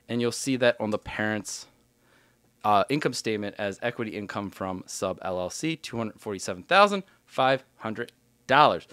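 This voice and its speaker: A man's voice: medium pitch, a bit bright, clear, resonant tone, no room sound. A man giving an explanatory tutorial as an instructor, talking informally through worked examples step by step. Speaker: accounting instructor.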